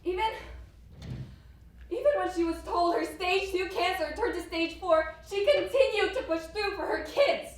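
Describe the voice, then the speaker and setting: A woman acting an accusing, protective best friend in a stage play, speaking with emphatic dramatic pauses, recorded from afar in an echoey hall. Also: extremely high pitch. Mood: accusing, impassioned. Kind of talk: student one-act stage play acting. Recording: very echoey hall, far mic, faint noise.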